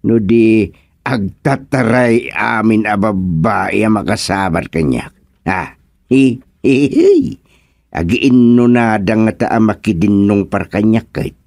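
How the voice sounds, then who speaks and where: A man's voice: fairly low pitch, creaky, warm tone, dry recording, studio quality, heavily acted. A man playing a comic, grumbling village man in an Ilocano radio serial, muttering and full of exclamations.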